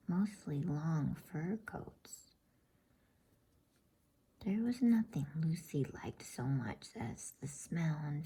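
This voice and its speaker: A woman reads a bedtime story as ASMR in a very breathy, hushed whisper. Her delivery is slow and gentle, with a mellow, dark softness to it. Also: low voice.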